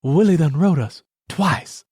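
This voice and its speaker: A man plays a firm country mother in an audiobook story. She calls out loudly and gives her orders in an insistent, commanding way. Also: a little high, measured pace, slightly rough, no room sound, studio quality, pitched above the performer's own voice. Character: firm, worried rural Southern mother ordering her children. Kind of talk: audiobook character acting.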